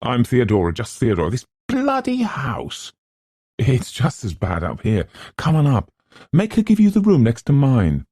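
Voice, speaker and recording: Man as a droll, flippant young woman, lifted pitch. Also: huge pitch swings, normal speed, warm tone, husky, dry recording, clean studio sound. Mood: exasperated. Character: flippant, sophisticated young woman, playfully ironic.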